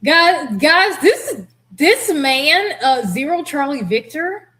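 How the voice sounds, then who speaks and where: Female reaction host, higher voice, blunt and expressive, casual commentary. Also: slow. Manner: blunt, expressive, laughs readily. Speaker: host, former air traffic controller.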